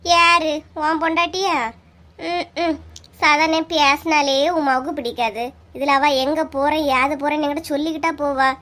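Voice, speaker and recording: Very high-pitched chatty young village woman, acted out. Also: fairly even intonation, moderate pace, sharp tone. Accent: Kanyakumari Tamil. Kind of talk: comic cartoon voice acting.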